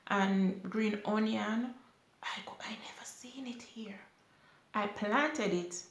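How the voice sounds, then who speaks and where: A young woman from Jamaica with a Jamaican accent, chatting casually as she answers viewer questions from her sofa. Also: medium pitch. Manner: unhurried, chatty, with lively rises when emphatic. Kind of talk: casual Q&A chat. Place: small indoor room, seated on a sofa. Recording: echoey room.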